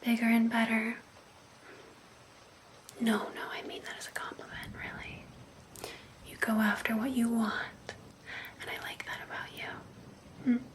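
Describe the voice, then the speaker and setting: A woman's voice: a little high, flat delivery, close to an everyday voice, poor audio. Whispering, obsessed vampire ex-girlfriend, acted by a woman. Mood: jealous, veiled. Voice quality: breathy whisper. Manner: slow, intimate, passive-aggressive, coaxing. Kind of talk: ASMR roleplay, whispered. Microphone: close ASMR microphone.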